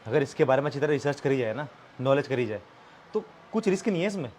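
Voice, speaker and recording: Young Indian husband, played by a male actor, medium pitch, fault-finding and earnest, domestic drama scene. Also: lively intonation, fast, darkish tone, a little hiss or hum, close to an everyday voice. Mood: hurt, challenging. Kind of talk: scripted domestic drama acting in Hindi with some English.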